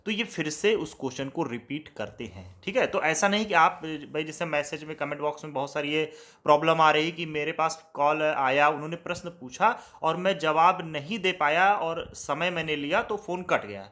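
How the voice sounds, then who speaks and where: A man's voice: a little high, normal speed, bright, forceful tone. A man giving a casual, conversational explainer to viewers as a video host, in a reassuring way. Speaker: YouTube tutorial host.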